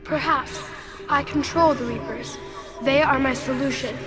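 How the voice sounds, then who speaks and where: A woman playing an ancient, calm and superior machine intelligence in a video game, speaking in a measured, formal way. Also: high-pitched. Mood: calm, cryptic. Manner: measured, formal, detached, didactic. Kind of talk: video game cutscene acting. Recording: loud music behind the dialogue. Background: loud game score.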